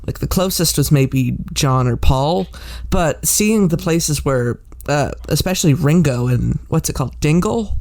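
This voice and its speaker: A man's voice: a little high, unhurried, vocal fry, darkish tone. A young man hosting a podcast, chatting casually with fillers and a self-deprecating humor. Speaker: podcast host.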